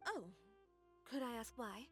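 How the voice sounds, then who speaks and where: A woman voicing a composed, worldly tailor in a video game, speaking calmly and in a businesslike way. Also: on the high side, unhurried, faint music behind. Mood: polite. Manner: calm, cool and businesslike. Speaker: dressmaker.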